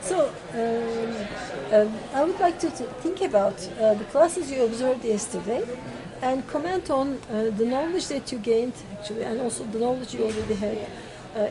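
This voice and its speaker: A woman interviewing teachers, asking long semi-formal questions with many pauses and restarts. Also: on the high side, low-quality recording. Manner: hesitant, full of fillers and restarts. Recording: loud crowd chatter behind. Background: chatter of many people.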